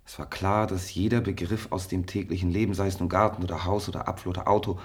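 Male scientist for radio drama: deep, husky, methodical, measured explainer. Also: steady tone. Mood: measured, clinical. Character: sober, methodical male scientist running a brain experiment. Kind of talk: German radio play acting.

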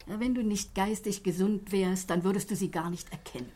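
Soft-toned, reassuring wife played by a woman. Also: mid-range voice, a little fast, close to an everyday voice. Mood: caring, reassuring. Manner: homely, reassuring. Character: caring, reassuring middle-aged wife of an inspector. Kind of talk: 1960s German radio play acting.

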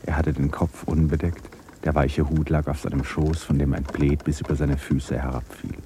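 A man narrating a radio play in a measured, literary storytelling style. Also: extremely low pitch, steady tone, mellow, a little hiss or hum.

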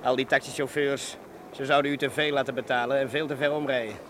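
A man's voice: mid-range voice, flat delivery, fast talker, a bit bright, slight background noise, lightly acted. An actor playing an eager, courteous male hotel porter in a radio drama, speaking formally and obligingly.

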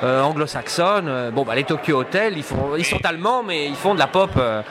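A man's voice: on the high side, animated, fairly quick, a crowd in the background. A man speaking in a radio interview about culture, hesitant, with many fillers and restarts. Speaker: cultural attaché at an embassy.

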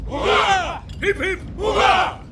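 A man's voice: extremely high pitch, takes it slowly, very noisy, exaggerated voice. A man playing a jovial, pompous German emperor in a satirical radio play. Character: boisterous German emperor hosting a sea cruise. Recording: loud music and crowd behind.

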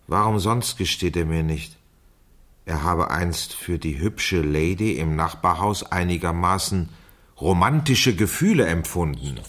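A man's voice: low-pitched, lively intonation, a little slow, a bit bright, full character voice. An actor playing a man who reads a teenage girl's diary aloud in a theatrical, mocking way in a radio crime play. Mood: dramatic, mocking. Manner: theatrical, dictates punctuation marks aloud.

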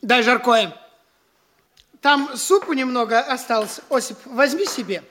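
An actor playing a vain, fussy, hungry young gentleman in a theatrical radio play, haughty and exclamatory. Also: extremely high pitch, unhurried, very noisy. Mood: disgusted grumbling.

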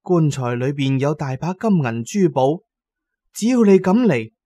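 A man's voice: fairly high pitch, big pitch swings, measured pace, warm tone, no room sound. A man voicing an aggrieved, proud and coaxing female ghost inhabiting a paper doll in a horror audio drama. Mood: luring. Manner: reproachful, persuasive.

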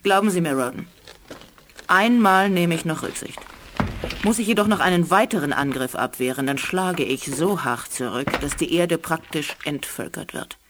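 An actress playing a haughty, ruthless alien commander who scorns humans, imperious and threatening, in a 1980s German radio play. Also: female voice, sits low, normal speed, noisy background, close to an everyday voice. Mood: menacing.

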